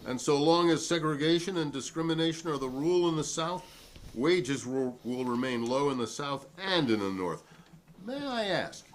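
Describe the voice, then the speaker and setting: A man's voice: a little high, expressive, lightly acted. A man reading the part of a defiant, principled witness at a 1950s congressional hearing, speaking formally and emphatically in a dramatic reading. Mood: challenging. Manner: emphatic, formal, deliberate repetition.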